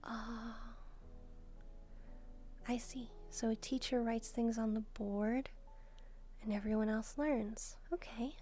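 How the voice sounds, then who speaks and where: Woman as a mellow, gentle demon maid. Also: a little high, steady tone, takes it slowly, pauses often. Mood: curious. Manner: gentle, musing, softly teasing. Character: gentle, devoted young female demon maid. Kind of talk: soft-spoken roleplay addressed to the listener. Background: background music.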